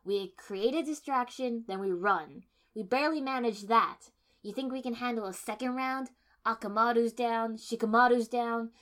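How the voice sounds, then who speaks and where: A woman voicing a brash, angry young male ninja who argues bluntly and loudly. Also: on the high side, moderate pace, pitched above the performer's own voice, natural voice. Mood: exasperated. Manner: loud, blunt, exasperated shouting.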